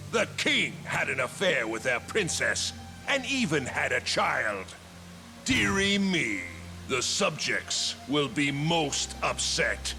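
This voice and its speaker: A man playing a sly, smug royal schemer in a fantasy game, with a mocking, theatrical, drawn-out delivery. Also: a little high, gravelly, pushed and bright, exaggerated voice. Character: sly, smug scheming male retainer of a king. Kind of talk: dramatic fantasy game voice acting.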